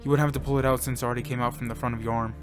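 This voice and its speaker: A man's voice: medium pitch, flat delivery, racing, natural voice. A man playing a sensitive, anxious young contestant and loyal friend in an audio drama, earnest and easily flustered. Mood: panicked. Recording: music behind the voice.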